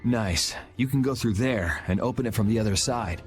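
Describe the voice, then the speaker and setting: A man's voice: on the low side, moderate pace, natural voice. An actor voicing a cool, blunt young swordsman in a video game, dry and laid-back. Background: game music.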